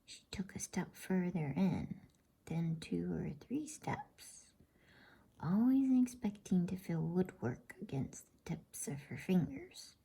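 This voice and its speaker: A woman reads a bedtime story as ASMR in a very breathy, hushed whisper. Her delivery is slow and gentle, with a mellow, dark softness to it. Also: lowish voice, sing-song, low-quality recording.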